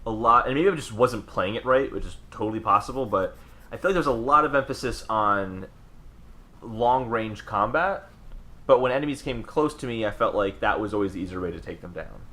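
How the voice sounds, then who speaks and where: A man's voice: fairly low pitch, lively intonation, brisk, bright, forceful tone. A man co-hosting a gaming podcast, bantering and chatting casually. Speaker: podcast co-host.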